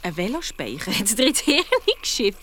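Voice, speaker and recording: A woman's voice: mid-range voice, normal speed, a little hiss or hum. A woman playing a chatty, cheeky young girl detective in a children's radio play, with a Swiss German accent. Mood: playful. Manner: lively, colloquial, expressive.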